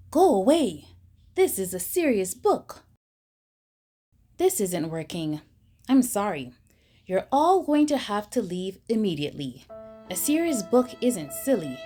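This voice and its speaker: Breathy female storybook reader, slow and lively, mock-stern delivery. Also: mid-range voice. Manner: slow, expressive reading with mock-stern emphasis. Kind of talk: children's picture book read aloud.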